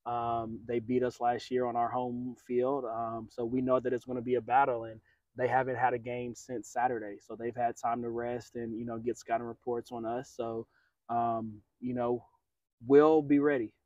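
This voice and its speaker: A middle-aged man, a head soccer coach, giving a measured post-game interview outdoors on a field at night. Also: medium pitch, no room sound, lo-fi sound. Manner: measured, steady, frequent fillers.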